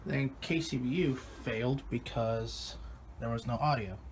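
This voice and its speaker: A man with a medium-pitched voice talks casually to viewers in an offhand mutter. It is an unscripted hobby vlog caught on a phone, with clear noise around him. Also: fairly even intonation, measured pace. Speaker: radio hobbyist filming videos. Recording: noisy phone video recording.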